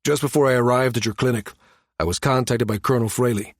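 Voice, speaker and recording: Man acting a firm, measured security chief. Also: medium pitch, dry recording, studio quality, lightly acted. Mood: firm, explanatory. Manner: measured, matter-of-fact, authoritative. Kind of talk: audiobook character dialogue.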